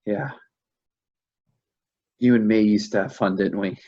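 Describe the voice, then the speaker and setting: Man acting a husky, halting, shaken husband. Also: fairly low pitch, warm tone, dull audio. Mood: wistful. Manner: halting, hesitant, repeating himself. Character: shaken, confused husband grieving a lost pregnancy. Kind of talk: staged play reading, naturalistic drama.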